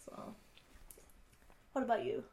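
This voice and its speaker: A young woman co-hosting a podcast, telling personal stories casually in short, halting bursts. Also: darkish tone, echoey room. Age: young adult. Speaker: podcast co-host. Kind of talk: casual chat, personal anecdotes.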